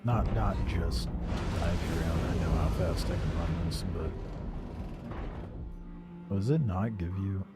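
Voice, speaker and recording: A man chatting casually and informally, with some mild swearing, as he livestreams a game, with loud music behind him. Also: low voice, measured pace, pauses often. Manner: informal, mildly profane. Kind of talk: casual gaming livestream chat.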